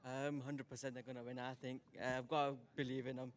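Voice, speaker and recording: Casual fan, hesitant. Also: male voice, medium pitch, little pitch movement, quick pace. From Blackburn, northwest England. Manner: casual, hesitant, slightly unsure. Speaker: football fan.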